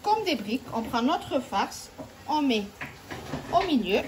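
A woman's voice: high voice, sing-song, takes it slowly, noisy background. A woman hosting a home cooking video, chatting step by step and talking straight to her viewers. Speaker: cooking channel host. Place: home kitchen.

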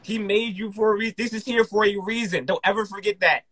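Male informal preacher, fairly high husky voice, emphatic repetition, close dry microphone. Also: fairly even intonation, quick pace, a bit bright, dry recording. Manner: emphatic, repeats phrases. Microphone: close podcast microphone with headset.

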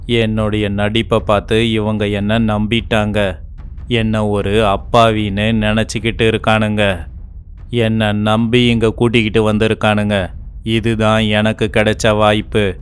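A man voicing a frail, abandoned old man in an animated story, a demon in disguise who speaks slowly and wearily. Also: fairly low pitch, smooth, natural voice. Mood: scheming. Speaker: voice actor.

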